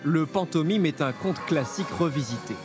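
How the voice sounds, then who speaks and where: A man's voice: on the high side, moderate pace. A man reading scripted narration for a television news report. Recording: voice-over on music and crowd. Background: music and lively theatre crowd.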